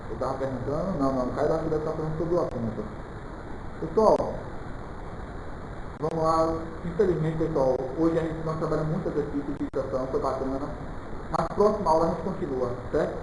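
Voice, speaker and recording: A man from Salvador, giving an informal live lecture as a law teacher, didactic and often checking in with listeners. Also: on the high side. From Salvador, Brazil. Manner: didactic, with frequent check-in questions and colloquial asides. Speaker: criminal law teacher for public exam candidates. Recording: very echoey room with clear background noise.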